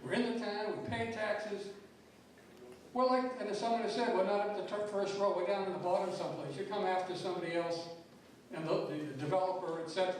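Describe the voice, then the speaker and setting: An older man giving a blunt, frustrated public comment at a town meeting, often repeating words as he speaks. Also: sits high. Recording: very echoey hall, distant mic, faint noise.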